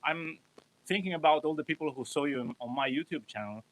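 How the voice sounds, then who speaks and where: A man's voice: a little high, a little fast, a bit bright, poor audio. A man from Italy, a guitarist, chatting loosely on a livestream and joking at his own expense. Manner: rambling, self-mocking, chuckling. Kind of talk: casual livestream chat.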